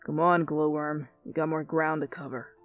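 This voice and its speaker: A woman voicing a gruff, sarcastic young man with a curt, low delivery, an irritable boyfriend in an anime fan fiction reading. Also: flat delivery, moderate pace, muffled recording, soft background music, lightly acted. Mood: gruff, impatient.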